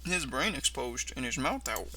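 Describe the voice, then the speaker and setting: A man's voice: mid-range voice, sing-song, normal speed, over loud music, low-quality recording. A man doing casual reaction commentary and reading text aloud, often stumbling over words and correcting himself. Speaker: reaction video host.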